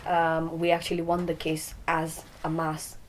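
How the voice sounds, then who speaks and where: A woman's voice: low voice, completely flat delivery, unhurried, a little hiss or hum, low-quality recording. A young woman from Nepal, a podcast guest, talking casually with frequent ums and some Nepali phrases mixed in. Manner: hesitant, many ums, mixes Nepali phrases. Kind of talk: casual interview talk.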